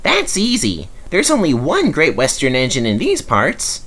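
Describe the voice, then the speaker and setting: A man's voice: a little high, wildly swooping pitch, a little slow, a bit bright, pitched above the performer's own voice, exaggerated voice. A man voicing a small, cheerful green steam engine in a children's story, chirpy and confident. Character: small green engine, male, cheerful, teasing, self-assured.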